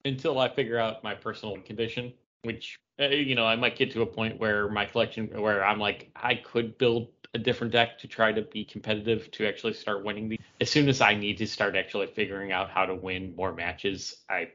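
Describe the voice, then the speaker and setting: A man's voice: on the low side, little pitch movement, brisk, few pauses, bright, forceful tone, lo-fi sound. A man co-hosting a casual podcast about games, telling stories in a playful way. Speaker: podcast co-host.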